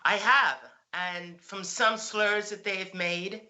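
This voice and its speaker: A woman's voice: mid-range voice, steady tone, slow pace, sharp tone. A woman hosting a talk show, speaking in an outspoken, emphatic way. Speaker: host. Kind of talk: radio talk show conversation.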